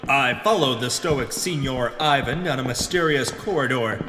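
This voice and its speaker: A man's voice: mid-range voice, close to an everyday voice. A man playing a pompous hard-boiled adventurer, narrating in the melodramatic style of a pulp radio serial. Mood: grave, suspenseful. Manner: melodramatic, grave, deliberate hard-boiled delivery. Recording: reverberant voice over background music.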